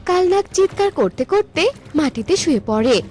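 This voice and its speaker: A woman's voice: extremely high pitch, very animated, moderate pace, a little mellow. A woman narrator reading a scripted cartoon fairy tale. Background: music.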